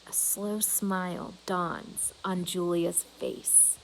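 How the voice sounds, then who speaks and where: A woman voicing a proud, grumbling male gorilla who narrates his own storybook tale in a measured, deliberate way. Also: on the low side, little pitch movement, vocal fry, slight background noise, voice pushed down, poor audio. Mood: watchful. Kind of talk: storybook narration in first person.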